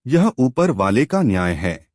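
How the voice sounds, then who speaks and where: A man voicing a calm elderly sage who admonishes his disciple in a measured way. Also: medium pitch, no room sound, studio quality. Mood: explaining. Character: calm elderly male sage, patient and stern.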